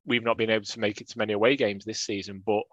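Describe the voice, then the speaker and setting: A man's voice: lowish voice, level tone, racing, a bit bright, no echo, dull audio. A man, co-hosting a casual chat with wry, jokey banter. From England. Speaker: podcast co-host.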